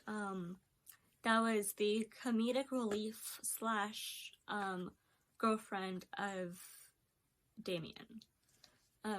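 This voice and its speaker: A young woman in her twenties chats through her recent years in a loose, unscripted way. She meanders from one thought to the next and keeps filling the gaps with um and like. Her pitch sits in an ordinary middle range for a woman. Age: 20s. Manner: rambling, many ums and likes. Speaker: vlogger. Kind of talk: casual personal recap.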